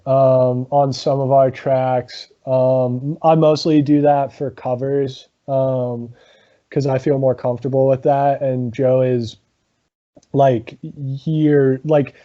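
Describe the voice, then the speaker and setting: A man, a guest in a casual interview, talking about his comedy rock band with a low voice, lots of ums and laughs. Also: flat delivery, dull audio. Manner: halting, many ums, laughs often.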